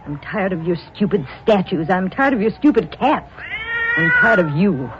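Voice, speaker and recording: An actress playing a scornful, impatient wife in an old radio drama, sharp and contemptuous. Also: female voice, mid-range voice, very animated, moderate pace, very noisy, lightly acted. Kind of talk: 1940s radio acting. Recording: dull old radio recording.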